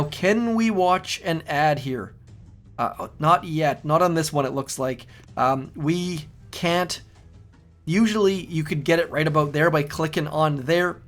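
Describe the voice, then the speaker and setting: A middle-aged man with a youthful-sounding voice, hosting casual gameplay commentary in a chatty, rambling way and repeating his words. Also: fairly high pitch. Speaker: game channel host.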